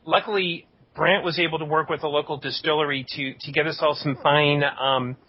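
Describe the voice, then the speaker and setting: A man co-hosting a podcast with casual banter, halting and repeating words as he goes. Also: fairly even intonation. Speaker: podcast co-host. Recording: dull lo-fi audio with rumbling noise.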